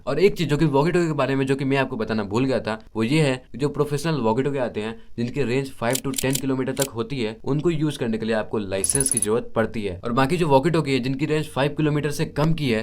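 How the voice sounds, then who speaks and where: A man's voice: mid-range voice, darkish tone. An Indian man hosting an explainer, talking to viewers in a conversational, explanatory way and mixing in English technical terms. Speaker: host of an explainer video. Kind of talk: explainer narration addressed to viewers.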